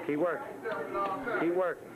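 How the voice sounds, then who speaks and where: A man's voice: on the high side, fairly even intonation, normal speed, over a noisy crowd. A man coaching a boxer on the pads with short, repeated calls in an indoor gym.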